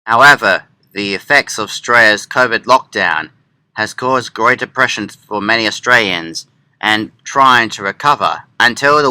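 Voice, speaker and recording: A man's voice: fairly low pitch, sharp tone, smooth, rough recording. A man reading scripted narration in the manner of a political commentary. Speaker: narrator and presenter of an upload.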